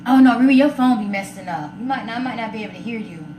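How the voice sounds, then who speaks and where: High-voiced outspoken woman hosting live. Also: very fast, darkish tone. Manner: outspoken, emphatic. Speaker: host of a live online talk show. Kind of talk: casual live commentary to viewers. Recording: roomy echo, faint background noise.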